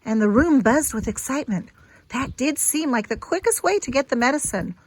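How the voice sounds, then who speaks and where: A woman reading a children's picture book aloud in an expressive, teacherly way, with simple asides to young listeners. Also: sits high, brisk, breathy edge, low-quality recording.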